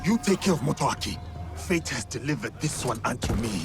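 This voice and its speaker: A man voicing a grave, proud warrior in a video game, with deliberate, weighty phrasing and quiet menace. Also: mid-range voice, lively intonation, over music, lightly acted. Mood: determined. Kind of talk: dramatic video game acting.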